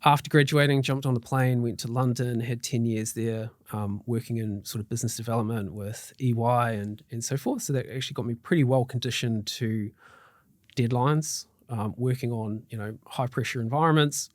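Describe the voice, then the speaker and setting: A man's voice: mid-range voice, steady tone, moderate pace, no echo, clean studio sound. A middle-aged man with a New Zealand accent, a guest chatting casually on a podcast, upbeat and loose with fillers and restarts, his throat slightly tickly. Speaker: guest mortgage broker.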